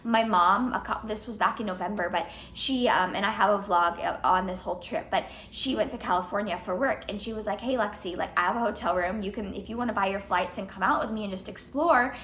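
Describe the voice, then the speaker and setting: A young woman in her twenties talks casually straight to the camera, slightly hoarse, filling her sentences with "like" and "um". Her voice sits at a middle pitch. The room sounds echoey, with a faint hum of ambience behind her. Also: brisk, hardly any pauses, fairly bright tone. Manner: chatty, lots of "like" and "um", restarts. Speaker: fitness vlogger. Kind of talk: casual Q&A vlog.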